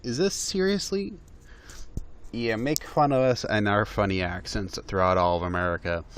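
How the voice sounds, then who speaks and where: A man's voice: fairly low pitch, moderate pace, clear, resonant tone. A man giving exasperated, mocking reaction commentary on a video, laughing through his remarks.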